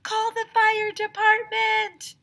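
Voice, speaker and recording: A woman voicing panicking schoolkids from a children's storybook, yelling shrilly and urgently. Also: extremely high pitch, monotone, slow, gravelly, voice pushed up, exaggerated voice. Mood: panicked.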